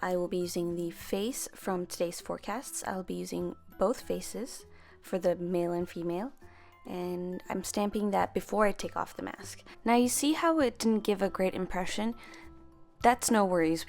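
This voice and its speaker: A woman's voice: lowish voice, normal speed, gravelly, a little mellow, soft background music. A young woman narrating a voice-over craft tutorial, chatty and friendly, sometimes correcting herself as she explains. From half Filipino, grew up speaking Tagalog. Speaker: planner stamping vlogger.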